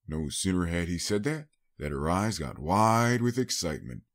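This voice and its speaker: A man narrates a scripted story in a dramatic audiobook style. His voice sits very low, and his pitch moves in a lively, expressive way from phrase to phrase.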